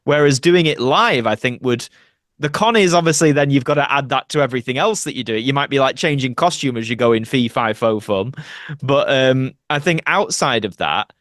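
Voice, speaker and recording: Guy actor chatting on a podcast, explaining stuff casually, normal pitch, really dry sound. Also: animated, normal speed, fairly bright tone, no echo. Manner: conversational, explanatory. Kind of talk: casual interview chat.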